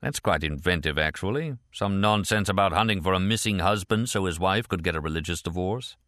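A man voicing a suspicious, mock-polite customs supervisor with a dry, deadpan interrogating manner. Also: sits low, brisk, no echo. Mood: sardonic.

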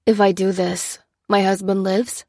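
A woman's voice: mid-range voice, little pitch movement, a little slow, a little mellow, no echo, clean studio sound. A woman voicing a desperate, defiant wounded cop in an audiobook, terse and pleading. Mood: fearful. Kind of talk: dramatised audiobook dialogue.

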